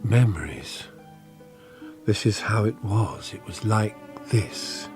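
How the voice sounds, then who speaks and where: A middle-aged British husband in a radio drama, anguished and bewildered. He speaks slowly with wide swings of pitch, pleading and wounded, over faint music. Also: animated. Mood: wistful, brooding. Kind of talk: BBC radio drama acting.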